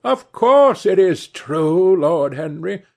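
A man's voice: high voice, animated, takes it slowly, creaky, darkish tone, pitched above the performer's own voice, heavily acted. A man voicing a fussy old society hostess. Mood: chiding. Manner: theatrical, fussy, exclamatory. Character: older woman, a chatty society hostess.

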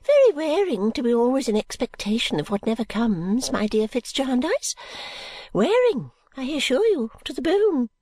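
A woman's voice: big pitch swings, normal speed, darkish tone, dry recording. A woman doing a frail, rambling old woman who whispers secrets. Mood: wistful. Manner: high fluttering swoops dropping into whispers.

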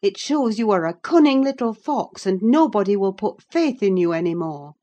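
A woman's voice: mid-range voice, animated, measured pace, darkish tone, lo-fi sound, pitched above the performer's own voice. A woman playing a stern middle-aged housekeeper who scolds a runaway girl. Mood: scolding. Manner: scolding, firm.

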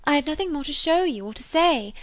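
A woman's voice: high voice, animated, fast, pitched above the performer's own voice. A woman voicing an earnest, impressionable young woman in love in a storybook, with an effusive, emphatic manner. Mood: wistful. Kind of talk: dramatised audiobook character reading.

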